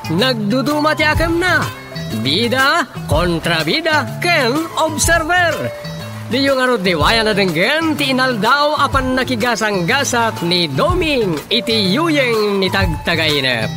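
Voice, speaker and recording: A male radio drama announcer with a Filipino accent and a high, swooping voice. He narrates slowly and theatrically, declaiming each phrase over prominent music.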